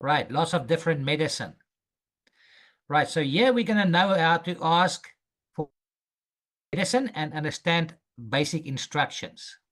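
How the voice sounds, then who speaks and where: A man's voice: on the high side, expressive, a bit bright. Patient man teaching English phrases. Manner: slow, patient, repeats phrases for the student. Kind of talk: language lesson drills.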